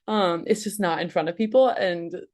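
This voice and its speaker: A woman's voice: lowish voice, normal speed, a little mellow. Bubbly young woman podcast host. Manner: bubbly, gushing, heavy use of "like" and "oh my God". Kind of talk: casual interview chat.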